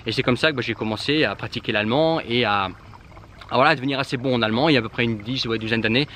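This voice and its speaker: Fast-talking man with a faint background hum. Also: mid-range voice, a bit bright. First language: a Germanic language. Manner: informal, addresses the viewer directly, many fillers and restarts. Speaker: vlogger giving language-learning advice. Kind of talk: casual direct-to-camera advice.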